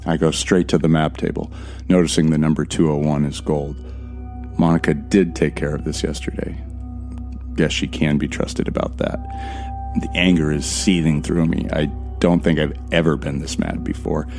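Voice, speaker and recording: A bitter, haunted narrator in a horror audio drama. His voice is extremely low and stays fairly flat, brooding over every detail with terse, profane tension. Music plays clearly behind him. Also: moderate pace. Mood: seething. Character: tense, bitter young man narrating his horror ordeal.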